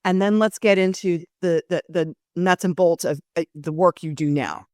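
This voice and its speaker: A woman's voice: fairly low pitch, normal speed, a little mellow, no echo, clean studio sound. A woman hosting an interview podcast, talking earnestly with many fillers and restarts. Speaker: podcast host.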